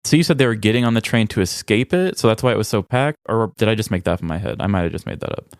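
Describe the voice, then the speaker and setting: A man's voice: on the low side, animated, rapid-fire, dry recording, clean studio sound. A man with an American Midwestern accent chatting in a playful, informal way as a podcast co-host. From rural Midwest United States. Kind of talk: casual comedic podcast chat.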